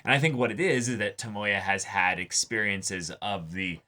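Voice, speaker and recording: A man's voice: on the low side, normal speed, pushed and bright. A man co-hosting a reaction show, talking casually and analytically in an emphatic way, repeating phrases for stress. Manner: emphatic, repeats phrases, acts out imagined dialogue. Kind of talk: casual analytical discussion of an anime episode.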